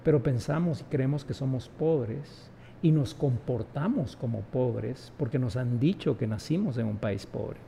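A man's voice: medium pitch, unhurried, creaky, warm tone, slight background noise. A man, speaking emphatically as an interview guest and often adding rhetorical tag questions. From Guatemala. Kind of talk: reflective conversational interview.

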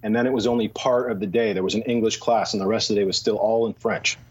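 A man's voice: fairly low pitch, fairly even intonation, fast talker, slightly rough. A man from Canada, a podcast guest, chatting casually and self-deprecatingly, laughing at his own tangents. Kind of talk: casual chat. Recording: somewhat dull lo-fi sound.

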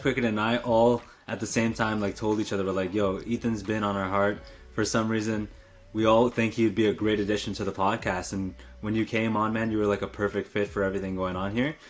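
An upbeat, encouraging man in his twenties co-hosting a casual podcast chat. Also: lowish voice. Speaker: podcast co-host.

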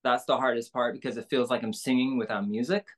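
Woman with a low speaking voice, candid and relaxed. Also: fast talker. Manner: relaxed, candid, many fillers and restarts. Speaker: singer-songwriter guest. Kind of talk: casual interview conversation.

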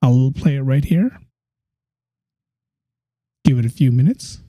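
A man's voice: medium pitch, slow, dark tone, no room sound, studio quality. A man hosting his own podcast, chatting casually and repeating phrases for emphasis. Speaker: podcast host.

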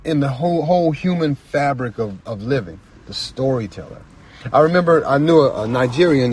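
Male writer-storyteller, medium pitch, earnest and reflective, repeats phrases for emphasis, slight ambient noise behind. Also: a little mellow. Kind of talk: reflective personal talk about writing.

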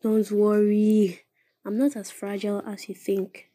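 A woman acting a sharp-tongued young woman in love in an audio play, with a Ghanaian accent. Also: mid-range voice, flat delivery, a little slow, lightly acted. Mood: playful. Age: young adult. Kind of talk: dramatic dialogue in an audio play.

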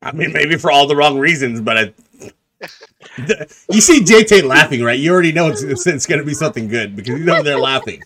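A man's voice: a little high, fairly quick. A man in his twenties co-hosting a podcast, joking and teasing in casual group chat. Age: 20s. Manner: joking, teasing, animated storyteller. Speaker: podcast co-host.

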